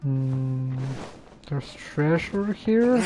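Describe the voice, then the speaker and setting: A man giving casual live gameplay commentary, halting and muttering to himself as he plays. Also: a little high, expressive, dark tone. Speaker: game streamer. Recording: faint game music underneath.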